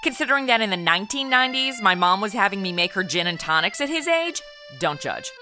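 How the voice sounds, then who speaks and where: A woman's voice: mid-range voice, very animated, quick pace, bright, forceful tone, faint music behind. A woman hosting and narrating a comedy audio drama in a cheeky, sarcastic, conversational manner. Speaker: comedy podcast host and narrator.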